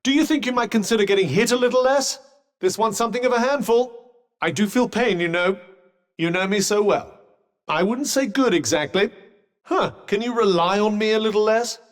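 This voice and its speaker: Man voicing a sardonic enchanted cuff for a video game: middle-aged, refined British male, fairly high voice, dry and pompous, drenched in long reverb. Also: normal speed, natural voice. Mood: dry sarcasm. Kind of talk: game companion combat barks.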